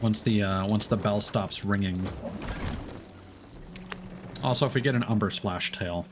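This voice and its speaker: A man's voice: fairly low pitch, unhurried, background noise. A man casually commenting on his gameplay as a streamer, chatting through tips with many fillers.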